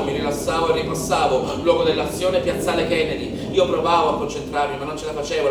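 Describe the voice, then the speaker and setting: A man acts out a young street rioter in a theatrical monologue, chanting his account in obsessive lists. His voice sits fairly high and rings through a very reverberant space. It is picked up from a distance under clear background noise. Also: lightly acted. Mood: tense, focused. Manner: incantatory, repeating phrases in lists. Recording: echoey, noisy live theatre recording from afar.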